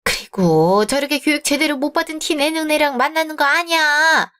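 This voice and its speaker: An overbearing middle-aged mother in a radio drama, nagging and indignant, her voice sitting fairly high, as a woman voices her. She talks down to the young woman her son wants to marry. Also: moderate pace, bright, forceful tone. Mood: scornful.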